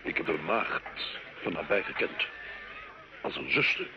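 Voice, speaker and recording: A man's voice: low voice, expressive, measured pace. Intense, impatient nobleman-knight for period radio play; curt, brooding, dull and noisy sound. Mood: intense, grieving. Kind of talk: old radio drama acting.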